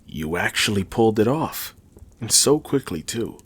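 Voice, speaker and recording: A man playing a learned, guarded older mage in a fantasy audio drama, speaking formally and deliberately in a gravelly, husky voice. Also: fairly low pitch, big pitch swings, a bit bright, exaggerated voice. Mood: impressed, fond. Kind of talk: fantasy audio roleplay acting.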